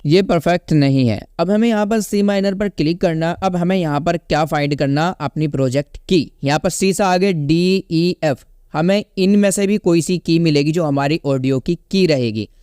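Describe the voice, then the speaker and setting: A man's voice: a little high, moderate pace, hardly any pauses, a little mellow, clear, resonant tone, no room sound, clean studio sound. A man hosting a casual step-by-step tutorial, instructing and often checking in with the listener. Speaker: tutorial host.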